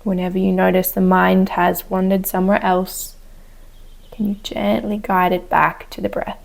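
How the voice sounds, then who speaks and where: Woman, meditation teacher, very level intonation, creaky voice, gentle unhurried guidance, outdoors. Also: mid-range voice, level tone. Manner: gentle, unhurried instruction with soft pauses. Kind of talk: guided meditation. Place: outdoors on a wooden deck. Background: chickens and a rooster.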